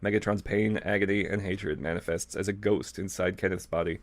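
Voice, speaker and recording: A man's voice: deep voice, steady tone, brisk. A man reading fan fiction aloud as a co-host, with casual, amused, irreverent asides.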